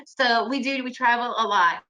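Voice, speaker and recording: A woman from the former Soviet Union who grew up in the United States, speaking. She presents a trading webinar in an energetic, chatty way over a video call from her home, often restarting sentences and tagging them with "right?". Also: a little high, moderate pace. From Soviet Union, raised in the United States. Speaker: webinar presenter, day trader. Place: home in Guatemala.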